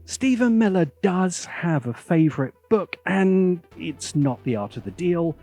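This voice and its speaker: A British man narrating a scripted opinion video essay as its host, with a sardonic, theatrical and deliberate delivery. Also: sits high, big pitch swings, dark tone, soft background music.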